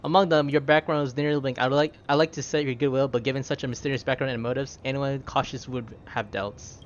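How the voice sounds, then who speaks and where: A man's voice: medium pitch, steady tone, quick pace, natural voice. A man voicing an earnest, cautious young traveler, reading formal video game dialogue aloud with careful delivery. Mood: wary. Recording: slightly dull, faint background noise.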